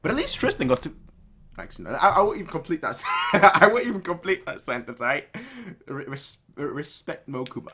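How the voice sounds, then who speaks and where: A man hosting a reaction video, commenting casually and excitedly, often repeating phrases for emphasis. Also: mid-range voice, slight background noise.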